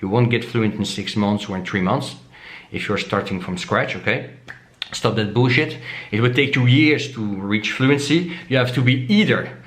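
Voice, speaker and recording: A man whose first language is Dutch, casually talking to camera as a vlogger, often asking "okay?" and filling pauses with "uh". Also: fairly low pitch, a bit bright, reverberant. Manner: repeats himself and tags "okay?" often, many "uh" fillers.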